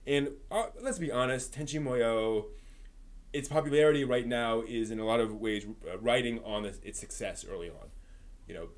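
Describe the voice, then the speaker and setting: A man hosting a live stream, talking through anime reviews and discussion in a conversational way. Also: fairly low pitch.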